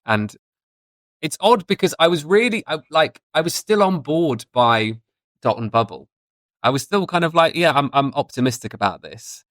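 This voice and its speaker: A man's voice: on the high side, lively intonation, normal speed, a bit bright, no room sound, studio quality. A man in his thirties chatting casually and giving his opinions as a podcast guest. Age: 30s.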